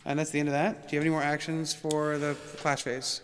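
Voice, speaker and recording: A man's voice: fairly high pitch, little pitch movement, fast, background noise. Casual man teaching a tabletop game. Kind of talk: casual chat during tabletop gameplay. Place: indoors around a game table.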